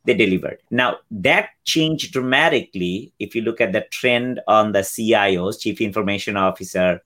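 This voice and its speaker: A man's voice: medium pitch, fairly bright tone. A man in his fifties from Bangladesh, a guest explaining technology trends in an interview and acting out quoted exchanges. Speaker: guest, cloud solutions architect. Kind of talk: interview answers.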